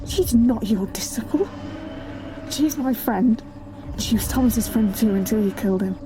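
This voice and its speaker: A woman's voice: fairly high pitch, measured pace, noisy background, close to an everyday voice. A young woman playing a loyal, protective college student in an amateur audio drama, speaking with urgent indignation. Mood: pleading, indignant. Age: young adult. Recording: music behind the voice.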